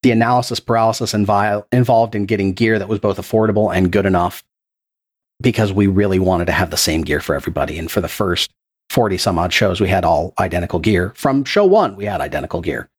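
Earnest low-voiced man explaining his podcast. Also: fast talker, husky. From Texas. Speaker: podcast producer and game master. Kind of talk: casual interview answers. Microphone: podcast microphone.

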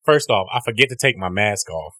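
A deep-voiced young man tells a long story in loose chat, cursing freely, restarting his sentences and slipping into a higher voice when he quotes people. His voice sits low, and the close podcast mic keeps the sound dry. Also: expressive, fairly quick, sharp tone, no room sound. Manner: rambling, profane, restarts often, acts out quotes in a high voice. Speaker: comedian and podcast host.

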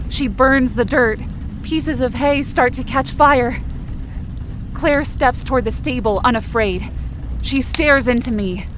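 High-pitched woman narrating urgent horror fiction. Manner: dramatic, urgent present-tense storytelling. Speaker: podcast writer and performer. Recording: loud sound effects and noise behind.

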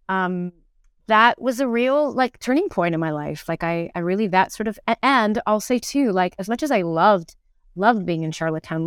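A middle-aged woman chats as an interview guest. Her voice is medium-pitched, clean and resonant, recorded with very little room sound. She tells stories in a loose, animated, self-deprecating way, often quoting people as she goes. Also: smooth, dry recording, clean studio sound. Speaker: interview guest, musical theatre performer and teacher. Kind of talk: casual conversational storytelling.

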